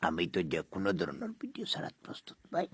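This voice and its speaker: A man's voice: low voice, huge pitch swings, quick pace, breathy edge. A man making a comic prank phone call, rambling and repeating himself. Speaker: prank caller.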